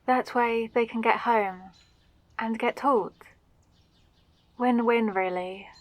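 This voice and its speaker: A woman playing a kind, eager mage who teaches magic gently and encouragingly in a roleplay audio drama. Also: on the high side, steady tone, slow pace, no echo. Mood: cheerful, musing.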